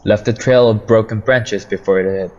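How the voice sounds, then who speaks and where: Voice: medium pitch, steady tone, moderate pace, a little mellow, dull audio, close to an everyday voice. A young actor playing a blunt, matter-of-fact state trooper in an amateur radio play. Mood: stern.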